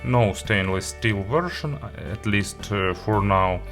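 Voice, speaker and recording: A man presenting a product review as host, talking through it in a halting, hesitant way with frequent pauses. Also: on the low side, fairly bright tone, soft background music.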